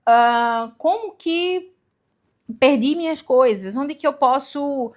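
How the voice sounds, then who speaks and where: Chatty woman, fairly high voice. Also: animated, takes it slowly. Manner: chatty, self-correcting, rhetorical questions. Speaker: vlogger giving language-learning tips. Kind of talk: casual talking-to-camera advice.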